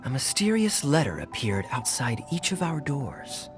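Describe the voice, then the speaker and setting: A suave young knight captain, speaks with playful, knowing mockery. His voice moves lively up and down as he needles his companions. Faint music sits beneath the game dialogue. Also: mid-range voice, normal speed. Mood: teasing. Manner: teasing, wry and playful. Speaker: game character.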